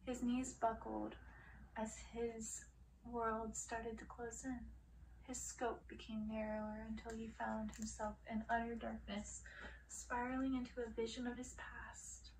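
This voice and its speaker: A woman reads a dark fairy-tale story aloud in ASMR style, as a dramatic storyteller who draws out words and slips toward whispers. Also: a little high, flat delivery, a little slow. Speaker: audiobook reader. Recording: distant mic with faint noise.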